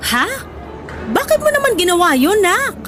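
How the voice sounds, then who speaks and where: A woman's voice: extremely high pitch, big pitch swings, moderate pace. A woman acting a middle-aged mother in a Tagalog radio drama, fondly scolding her grown son with sighing interjections. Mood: dismayed, pleading. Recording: music bed under the voice.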